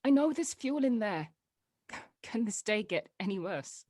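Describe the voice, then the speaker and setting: A woman's voice: medium pitch, big pitch swings, a little slow, a little mellow, no room sound, studio quality. A woman playing a hurt, stubborn daughter who gets exasperated, in a dramatic script read. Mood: frustrated. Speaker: actor at a table read.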